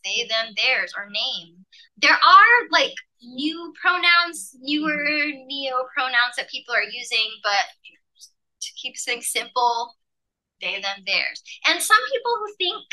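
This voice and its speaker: A woman from Malaysia presents a workshop over a video call, her voice very bright and a little high. She explains with animated emphasis, like a professor walking colleagues through a slide. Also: poor audio. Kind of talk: leading an online teaching workshop.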